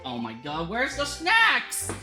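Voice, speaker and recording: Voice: mid-range voice, wildly swooping pitch, slow, music in the background. A streamer casually commenting on a game playthrough, rambling, swearing and laughing.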